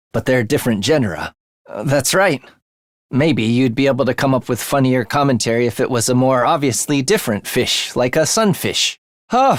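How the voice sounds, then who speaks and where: A man's voice: on the high side, no room sound, studio quality. A man voicing an eerie, bloodthirsty young male student in a video game, with a sinister, theatrical manner that savors morbid details. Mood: matter-of-fact, then urgent. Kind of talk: video game character voice acting.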